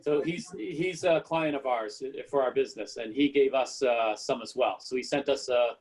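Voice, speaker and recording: A man's voice: medium pitch, normal speed, darkish tone, rough recording. A man hosting a club meeting over a video call, talking in a casual, friendly way. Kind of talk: casual chat.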